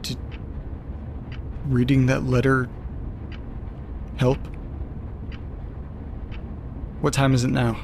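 A man's voice: mid-range voice, completely flat delivery, slow, gravelly. Actor as a dryly sarcastic, bewildered man, background noise. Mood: puzzled. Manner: hesitant, muttering, dryly sarcastic. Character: bewildered, sardonic, sweary man alone at night reading strange letters. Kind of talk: audio drama monologue, recorded-diary delivery.

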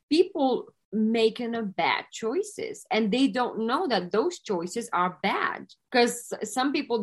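A Lithuanian woman with an accent, speaking English as a second language, answering interview questions in an earnest, halting way. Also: medium pitch. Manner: halting non-native grammar, earnest. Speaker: guest, wellness coach.

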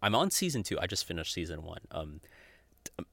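A man's voice: sits low, big pitch swings, a little fast, vocal fry, a bit bright, no room sound. A man hosting a podcast, rambling casually and enthusiastically through recommendations, informal and sweary, often with a chuckle in his speech. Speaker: podcast host. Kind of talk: casual rambling monologue recommending audio dramas.

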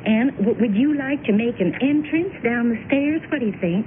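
A woman's voice: a little high, expressive, normal speed, close to an everyday voice. An actress playing a chatty, motherly older neighbor woman in a radio drama, with a folksy, fussy manner. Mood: fussy, organizing. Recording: noisy, tinny radio broadcast recording.

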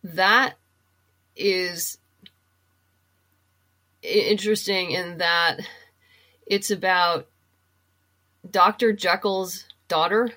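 A woman's voice: fairly low pitch, steady tone, extremely slow, pauses often, bright, forceful tone. A woman speaking as an interview guest, explaining things conversationally and thinking aloud in a casual chat about books. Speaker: guest, comic book writer and novelist.

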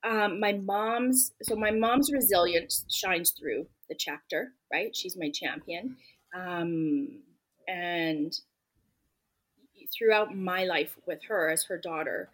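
Brief: woman guest author, husky lowish voice, earnest, emphatic, reflective. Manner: earnest, emphatic, reflective, many "you know" fillers. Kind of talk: podcast interview answers.

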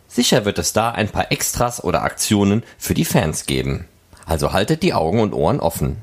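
A man's voice: lowish voice, breathy edge. A man reading scripted news and announcements as the host of a podcast.

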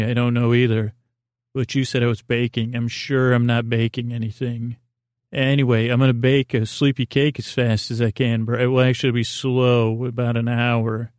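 A male podcast host improvises a silly bedtime monologue in a voice full of creak and fry. He wanders into tangents, repeats himself and talks as if to an imagined listener. The sound is very dry, with no room around the voice. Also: mid-range voice, a little mellow, no echo. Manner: meandering, repetitive, trails off into tangents.

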